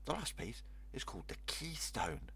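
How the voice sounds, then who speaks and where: Man voicing an eager, higher-pitched storybook boy. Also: sing-song, normal speed, pushed and bright, slightly rough, in a whisper, exaggerated voice. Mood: eager, instructive. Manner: instructive, simply worded. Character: eager young boy friend, helpfully explaining. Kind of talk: children's storybook read-aloud.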